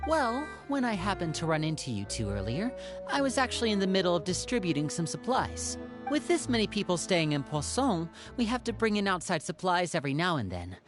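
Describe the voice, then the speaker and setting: A woman voicing a courteous young man in a video game, polite, smooth and welcoming. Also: lowish voice, sing-song, fairly quick, over music. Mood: genial, helpful.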